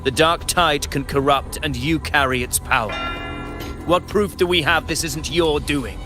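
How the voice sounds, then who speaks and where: An actor playing a stern, dutiful, suspicious guardian in a video game, formal and restrained. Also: male voice, on the high side, a little slow, over music, lightly acted.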